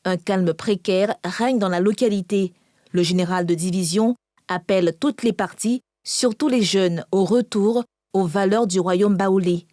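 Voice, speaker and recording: A woman's voice: mid-range voice, a little slow, mellow, dry recording, clean studio sound. A woman reporter narrating a scripted television news report.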